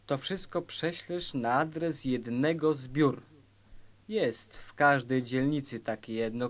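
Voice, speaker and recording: A man acting a cool, calculating lover who plots crime in a satirical radio play, deliberate and instructing. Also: mid-range voice, natural voice.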